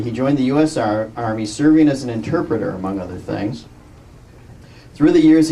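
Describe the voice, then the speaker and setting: A man's voice: mid-range voice, mellow, recorded from a distance, a little hiss or hum, rough recording. A man presenting an award, reading an enthusiastic introduction and stumbling on a few words.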